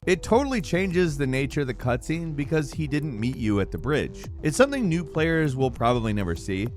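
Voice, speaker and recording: A man narrates a casual video about games with very lively, shifting intonation. He is conversational and emphatic, dropping in wry asides. Faint music plays behind his middle-pitched voice. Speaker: video presenter narrating a countdown of video game moments. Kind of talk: scripted casual video commentary.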